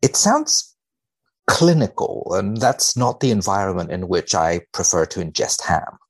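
A man speaking as a podcast guest in a measured, deadpan way with dry humour, both chatting and reading research aloud. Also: low-pitched. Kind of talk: wry conversation and dramatic reading of a research paper.

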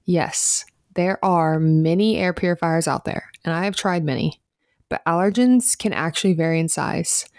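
A woman's voice: lowish voice, normal speed, darkish tone, no echo, studio quality. A woman podcast host reading a scripted sponsor spot in a chatty, personal style.

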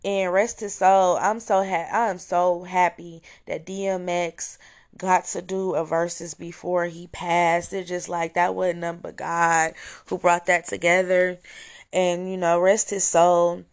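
A young woman with a hoarse voice hosts her own review podcast, talking casually and enthusiastically with fillers and restarts. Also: on the low side, little pitch movement, measured pace. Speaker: podcast host. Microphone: home recording, voice message style.

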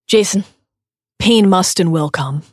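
A woman in her thirties co-hosting a podcast, speaking earnestly and with emphatic, drawn-out stress. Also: on the low side, darkish tone, no echo, studio quality. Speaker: podcast co-host. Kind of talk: heartfelt podcast chat.

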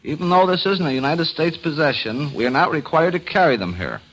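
A man's voice: on the high side, animated, brisk, fairly bright tone, low-quality recording. A man presenting a scripted travel quiz as a guest quizmaster on an old radio broadcast.

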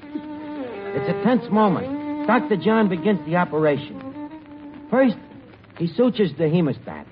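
A man's voice: high voice, big pitch swings, a little slow, pauses often, over music. A man playing a mock-suspenseful soap-opera narrator in an old-time radio comedy. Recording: muffled, noisy vintage radio recording.

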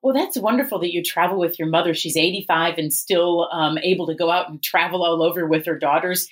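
A woman with a fairly low voice works as an interview host on a podcast. She speaks in a conversational way as she introduces and questions a guest. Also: a little fast.

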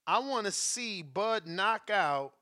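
A man with a high, bright, sharp-edged voice talks boxing in a loose, slangy show chat. He argues with animation. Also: expressive, slow. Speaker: podcast co-host.

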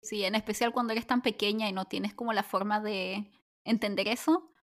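A woman's voice: mid-range voice, normal speed. A woman in her thirties co-hosting a chat podcast, chatty and upbeat, telling long flowing anecdotes. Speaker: podcast co-host. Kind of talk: casual chat.

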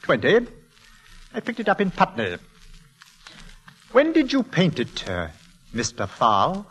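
An actor in an old-time radio drama playing a suave, persistent London art dealer, formal and smooth-talking. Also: male voice, a little high, huge pitch swings, takes it slowly, a bit bright, heavily acted, rough recording. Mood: pressing.

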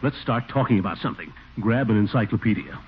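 An actor playing a pompous, eager middle-aged uncle and small-town official in a 1940s radio comedy, blustery and given to chuckling. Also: male voice, on the low side, fast talker, natural voice. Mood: self-satisfied. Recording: tinny, noisy old radio broadcast.

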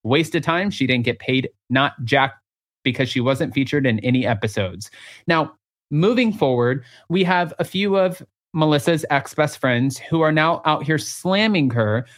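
A man's voice: fairly high pitch, lively intonation, normal speed. A man co-hosting a gossip show, chatting casually with a sassy, dramatic, emphatic delivery. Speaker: co-host of a celebrity gossip show. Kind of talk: casual reality-TV gossip chat, reading out quotes.

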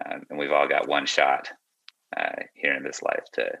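A man's voice: rumbling low, animated, moderate pace, creaky, pushed and bright, highs cut off. A young man speaking as a podcast guest, reflective and casual, feeling his way through his thoughts. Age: young adult.

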